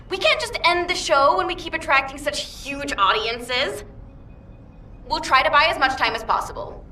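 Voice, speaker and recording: A woman's voice: high-pitched, animated, moderate pace, bright, forceful tone. A woman voicing a showy, spirited young fighter in a video game, perky and theatrical. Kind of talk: scripted game dialogue. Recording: echoing, distant, faint noise.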